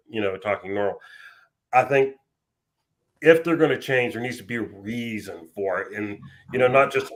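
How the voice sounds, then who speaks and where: A man co-hosting a podcast, rambling and thinking aloud with plenty of fillers in casual chat. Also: fairly low pitch. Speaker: podcast co-host.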